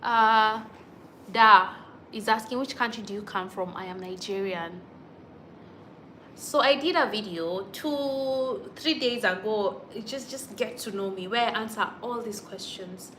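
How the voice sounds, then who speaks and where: A young woman chats casually into her phone on a livestream, replying to viewers' comments as they come in. Her voice sits at a middle pitch, with a faint hum of room noise behind her. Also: a little slow, bright, forceful tone. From Kenya. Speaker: YouTube vlogger on a livestream.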